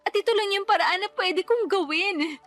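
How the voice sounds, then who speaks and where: A woman's voice: extremely high pitch, moderate pace, fairly bright tone, soft background music. An actress playing a desperate young mother who pleads and defends her choices in a radio drama. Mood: pleading.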